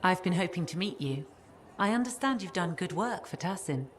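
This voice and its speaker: An actress playing an earnest, polite and worried wife in a video game. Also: female voice, lowish voice, expressive, moderate pace, a little hiss or hum, close to an everyday voice. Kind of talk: video game cutscene dialogue.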